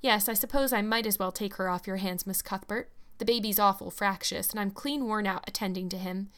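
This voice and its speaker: A woman reading aloud, voicing a sharp, stingy, hard-driving middle-aged woman who talks curtly. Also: medium pitch, steady tone, brisk, smooth, lightly acted. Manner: curt, blunt, ungracious.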